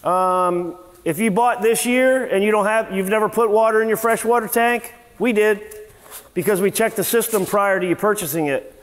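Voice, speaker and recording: High-voiced man teaching in an echoey garage. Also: moderate pace. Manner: casual, rambling, many fillers and restarts. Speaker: service manager. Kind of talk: informal seminar talk. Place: large workshop garage indoors. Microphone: far camera, no mic on him.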